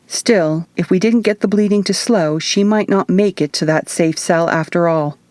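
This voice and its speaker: A woman's voice: lowish voice, normal speed, a little mellow, no room sound. A woman reading scripted first-person fiction as an audiobook narrator. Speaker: author reading own audiobook.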